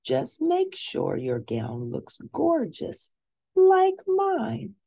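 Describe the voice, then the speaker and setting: A woman voicing a vain, breezy young princess girl in a storybook, showy and drawn-out. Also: high voice, huge pitch swings, creaky, mellow, voice pushed up, full character voice. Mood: smug, breezy.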